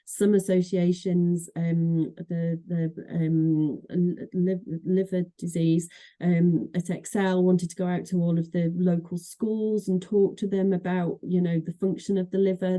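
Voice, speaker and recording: A woman's voice: lowish voice, little pitch movement, a little slow, dark tone. A middle-aged woman from Manchester with a Mancunian accent, a guest in a podcast interview, talking chattily with many fillers and restarts. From Manchester, England. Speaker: association executive director, guest.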